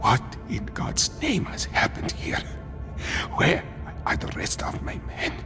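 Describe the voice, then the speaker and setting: An actor playing a dying Egyptian army sergeant in a game, grave and determined, with halting, breathless, laboured delivery. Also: male voice, lightly acted. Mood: shaken, urgent. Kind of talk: dramatic video game cutscene acting. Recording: loud cinematic music behind.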